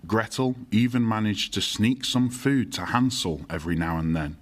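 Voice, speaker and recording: A man's voice: on the low side, moderate pace, natural voice. A man narrating a fairy tale as the storyteller in an audio drama. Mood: measured, storytelling.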